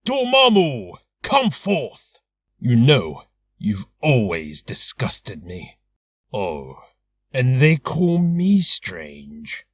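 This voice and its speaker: A man's voice: medium pitch, slightly rough, heavily acted. A man voicing a dignified, dry-witted sorcerer hero with formal, theatrical delivery for a game character reel.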